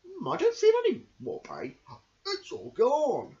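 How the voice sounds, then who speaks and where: Storybook crocodile, put on by an amateur reader: big, snappy, possessive male, loud delivery, wildly swinging pitch, dull lo-fi sound. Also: wildly swooping pitch, unhurried. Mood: relieved. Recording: dull lo-fi home recording.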